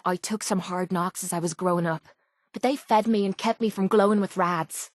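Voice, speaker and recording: A woman's voice: lowish voice, no room sound, natural voice. An actress voicing a tough, foul-mouthed young Irish woman, a bitter and wounded fighter in a video game, with a brash, blunt manner. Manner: brash, blunt, swearing, slangy. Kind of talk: video game character voice acting.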